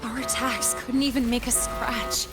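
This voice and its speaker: A woman's voice: a little high, flat delivery, measured pace, loud music behind the voice, close to an everyday voice. A woman playing an earnest, urgent young academy ally in a video game. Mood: urgent, desperate.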